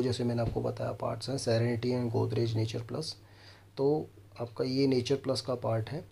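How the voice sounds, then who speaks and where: A man's voice: mid-range voice, vocal fry, a little mellow. Indian man presenting a property walkthrough. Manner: explanatory, addresses viewers directly. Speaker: property consultant and video host. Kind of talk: property walkthrough presentation mixing Hindi and English.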